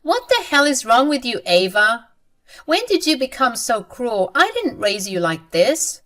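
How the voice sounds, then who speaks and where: A woman's voice: fairly high pitch, sing-song, a little slow, fairly bright tone, pitched above the performer's own voice. A woman voicing a disapproving older mother who scolds her grown daughter for cruelty. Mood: reproachful. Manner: reproachful.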